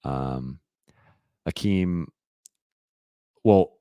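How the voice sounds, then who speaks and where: A man hosting a live-stream Q&A, talking casually and rambling with lots of fillers and restarts, his voice a little raspy. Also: low voice, drawn-out words, dark tone, no room sound, studio quality. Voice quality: slightly raspy voice.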